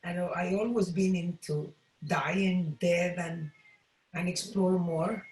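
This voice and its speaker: A woman from El Salvador with a Spanish accent, sharing personally in halting English as a participant in an online class. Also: on the low side, fairly even intonation, a little mellow. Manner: halting non-native English, appreciative. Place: room, on an online video call. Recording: roomy, echoey video call sound.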